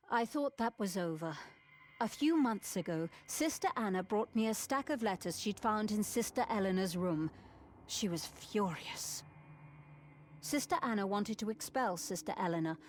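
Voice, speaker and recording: A woman playing a grave, measured abbess, stern but forgiving, in scripted video game dialogue. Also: mid-range voice, lightly acted. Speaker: game character.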